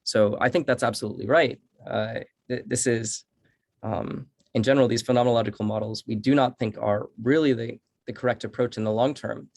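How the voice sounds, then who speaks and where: A man speaking as a researcher at an online academic seminar, explaining in halting phrases with repeated words. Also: mid-range voice, steady tone, gravelly, a little mellow, no room sound, studio quality. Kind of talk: academic presentation and answering questions.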